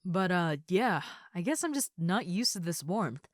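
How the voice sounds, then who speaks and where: A woman's voice: lowish voice, expressive, unhurried, no room sound, studio quality. A woman playing a muscular female ogre roommate in a roleplay audio, friendly, easygoing and caring, with a casual, chatty way of talking and hesitant asides. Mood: shy, tender. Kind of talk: roleplay audio acting, addressed to the listener.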